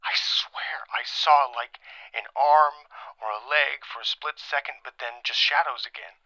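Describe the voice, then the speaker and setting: An actor playing a rattled, defensive late-night radio caller in an audio drama, speaking haltingly and colloquially through a telephone filter. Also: male voice, mid-range voice, pushed and bright, dry recording, heavily acted. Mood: uneasy, hushed. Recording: muffled, narrow phone-line sound.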